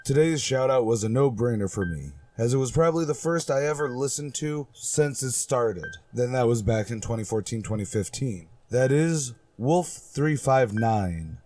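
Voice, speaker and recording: A man's voice: medium pitch, expressive, normal speed, few pauses, darkish tone, a little hiss or hum. A man hosting and narrating a radio drama podcast with scripted delivery.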